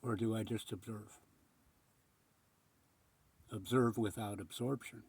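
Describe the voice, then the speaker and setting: A man with a husky voice giving a rambling, reflective spiritual monologue in an earnest, contemplative manner with emphatic pauses. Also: fairly low pitch, steady tone, a little mellow. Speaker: spiritual speaker.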